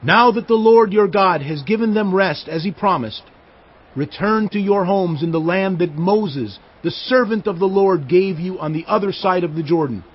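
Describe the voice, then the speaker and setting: An elderly Israelite leader addresses his people in a scripture drama. The voice is high and husky, solemn and emphatic in its commands. The recording is slightly dull. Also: moderate pace. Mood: solemn exhortation.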